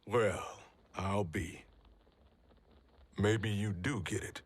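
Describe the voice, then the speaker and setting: An actor playing a gruff veteran soldier and protective father in a video game cutscene, talking in a wry, folksy, informal way. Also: lowish voice, fairly even intonation, extremely slow, a little hiss or hum. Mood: wry, easing.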